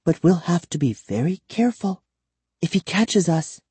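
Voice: mid-range voice, sing-song, moderate pace, warm tone, dry recording, voice pushed up. A narrator voicing a bitter, frightened twelve-year-old girl held prisoner, trembling and urgent, in a storybook reading. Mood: hushed, urgent. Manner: breathy sighing, urgent and trembling.

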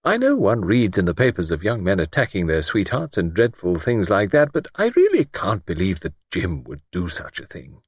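A man's voice: low-pitched, huge pitch swings, moderate pace, hardly any pauses, slightly rough, no echo, clean studio sound, full character voice. A man voicing a genteel, hesitant and anxious elderly woman in an audiobook, speaking in prim, careful, formal phrases. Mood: anxious, earnest. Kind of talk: audiobook character voice.

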